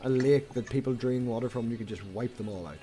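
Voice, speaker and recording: A Northern Irish man hosts a loose stream chat, rambling along and swearing freely. His voice sits at a mid pitch. He keeps the talk moving and tells stories in an offhand way. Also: fast talker, warm tone. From Northern Ireland. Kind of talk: casual group chat on a stream.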